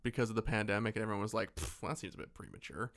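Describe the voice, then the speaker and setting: A man's voice: fairly low pitch, animated, racing, fairly bright tone. A man hosting a podcast, chatting informally and casually about films and shows. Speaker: podcast host.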